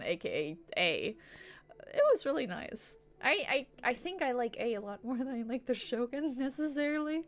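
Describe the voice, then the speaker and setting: A woman streaming games, chatting casually in a rambling, giggly way that circles back on itself. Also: fairly high pitch. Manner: rambling, giggly, repeats herself. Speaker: video game streamer. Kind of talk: casual livestream chat.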